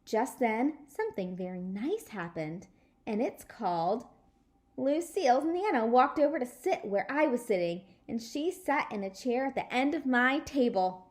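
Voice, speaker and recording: A woman's voice: fairly high pitch, unhurried. Woman reading a children's chapter book aloud. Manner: animated, acts out dialogue. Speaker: read-aloud storyteller.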